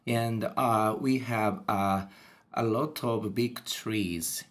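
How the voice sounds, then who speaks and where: A man in his forties from Japan with a Japanese accent, a native Japanese speaker talking in careful, halting learner English as a podcast host in an online lesson. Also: on the low side, fairly even intonation. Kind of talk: casual English lesson conversation.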